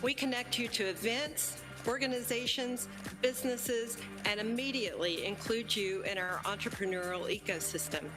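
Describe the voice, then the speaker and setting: A woman's voice: a little high, moderate pace. A woman delivering scripted promotional narration as a city representative. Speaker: city economic development representative. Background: music.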